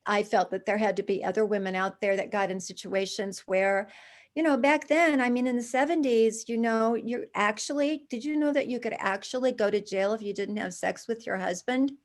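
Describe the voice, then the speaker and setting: A woman in her sixties, a charity founder, telling her story as a guest in a conversational interview, with lively restarts and asides. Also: medium pitch, moderate pace.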